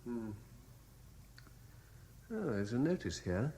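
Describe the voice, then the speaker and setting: A man's voice: medium pitch, big pitch swings, slow pace, vocal fry, mellow, exaggerated voice. A British actor playing an anxious, lovesick man terrified of heights in a radio drama, pleading and urgent. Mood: subdued, concerned. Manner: pleading, urgent, emotionally wrought. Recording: echoey, noisy vintage radio drama recording.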